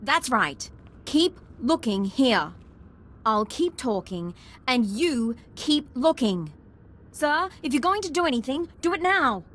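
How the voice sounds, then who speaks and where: An actress playing a dutiful, earnest young military ensign in a video game, speaking directly. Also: female voice, high-pitched, big pitch swings, slow, a bit bright, heavily acted. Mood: commanding, urgent.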